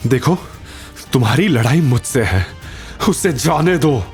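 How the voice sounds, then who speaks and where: A man voicing a brave, protective, determined hero in an audio drama, speaking with forceful resolve. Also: medium pitch, lively intonation, measured pace, soft background music, pitched above the performer's own voice, natural voice. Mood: pleading firmly.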